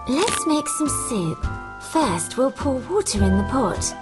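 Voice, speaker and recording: A woman voicing a kind, gentle, helpful young woman in a children's fairy tale, speaking in a sweet, earnest, polite way. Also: sits high, sing-song, takes it slowly, over loud music, exaggerated voice. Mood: cheerful.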